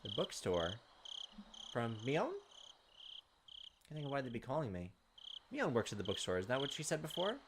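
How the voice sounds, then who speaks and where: A man hosting a game video, reading visual novel text aloud with slow suspense and dropping into casual commentary. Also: medium pitch, very animated. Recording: clearly noisy home recording, clicks, insect sounds.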